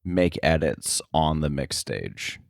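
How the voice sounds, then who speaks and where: A man's voice: rumbling low, expressive, slow, creaky, dry recording, clean studio sound. A man speaking casually as a podcast guest, a sound effects editor with a self-deprecating, chuckling way of talking. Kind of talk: casual interview conversation.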